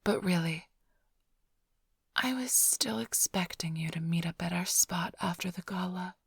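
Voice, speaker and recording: A woman playing a flirtatious, smug vampire thief in an intimate ASMR roleplay, teasing and sly in a breathy, often whispered voice. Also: lowish voice, unhurried, no room sound, clean studio sound, full character voice. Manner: teasing, purring, theatrically sly. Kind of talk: ASMR roleplay, intimate close address to listener.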